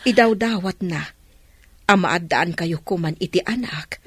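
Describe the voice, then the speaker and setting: A woman plays a kindly older nanny in an Ilocano radio drama. The voice is husky and close-sounding, dry, with an Ilocano Filipino accent and a consoling manner. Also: lowish voice, unhurried, fairly bright tone, dry recording.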